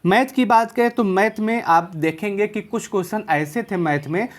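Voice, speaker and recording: A woman's voice: medium pitch, moderate pace. A woman presenting an informal exam analysis to students, explaining things and repeating key points for emphasis.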